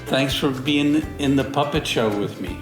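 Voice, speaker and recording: A man's voice: medium pitch, moderate pace. An older man chatting simply and playfully with a young child, as a grandfather does. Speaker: grandfather playing puppets with a child. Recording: echoey room, loud music and voices behind. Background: loud music and background chatter.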